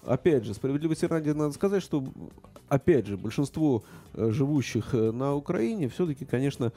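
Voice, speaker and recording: A middle-aged man in casual political chat, talking haltingly with many fillers and restarts. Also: mid-range voice, animated, dark tone.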